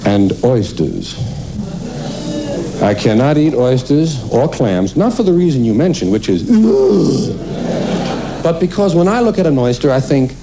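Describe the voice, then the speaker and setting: A man performing a stand-up comedy routine in an animated, mock-indignant way with acted asides, on an old cassette recording of the radio. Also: mid-range voice, unhurried, a crowd in the background, poor audio.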